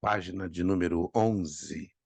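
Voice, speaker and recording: A man, hoarse from a cold, teaching an online Bible class and calling on students by name. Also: low-pitched, a little slow, darkish tone, dry recording. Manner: repeats key points for emphasis.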